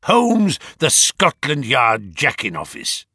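A man voicing a furious, menacing old doctor villain who snarls and shouts threats in an audiobook story. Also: mid-range voice, huge pitch swings, takes it slowly, husky, no room sound, studio quality, full character voice. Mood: scornful, furious.

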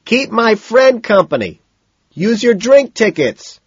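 A man voicing a cocky, glad-handing male socialite in an audiobook, with loud, breezy shouts. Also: high voice, big pitch swings, slow pace, slightly rough, no echo, lo-fi sound, voice pushed up, full character voice. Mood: breezy shouting.

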